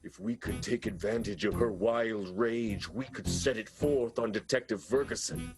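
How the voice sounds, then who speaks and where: A man's voice: on the low side, moderate pace, close to an everyday voice. A man as a portentous schemer over guitar music. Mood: scheming, grave. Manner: dramatic, portentous. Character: scheming, melodramatic vaudeville conspirator, grave and ruthless. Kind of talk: improvised comedic radio soap acting. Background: plucked guitar music.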